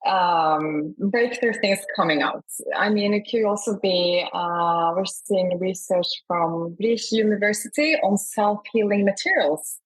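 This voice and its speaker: A woman with a mid-pitched, breathy-edged voice answers as an interview guest. She talks in a conversational, enthusiastic manner. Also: a little slow, hardly any pauses, low-quality recording. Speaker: award founder, interview guest.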